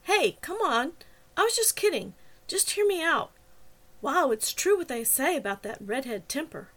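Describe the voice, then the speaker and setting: Audiobook role: persuasive, teasing policeman, high-pitched for a man read by a female narrator, reasonable and persistent. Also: sing-song, normal speed, fairly bright tone. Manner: persuasive, coaxing. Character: persistent, teasing male cop, cajoling and reasonable. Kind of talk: audiobook character dialogue.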